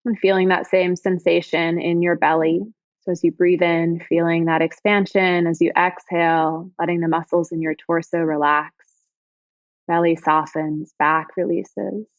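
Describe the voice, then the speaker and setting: Female meditation guide, lower register, soothing and unhurried, very dry and somewhat muffled lo-fi sound. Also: level tone, no echo. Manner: soothing, gentle, unhurried phrasing with pauses. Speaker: yoga teacher guiding meditation. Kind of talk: guided body scan meditation.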